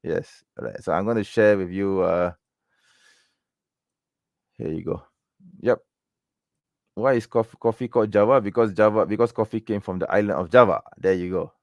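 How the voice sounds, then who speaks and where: A man's voice: on the low side, normal speed, long pauses, a little mellow, dry recording. A man from Malaysia, raised in Penang, hosting a casual livestream Q&A, rambling with lots of fillers and "okay?" and "right?" tags. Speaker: livestream Q&A host. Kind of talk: casual livestream chat answering viewer questions.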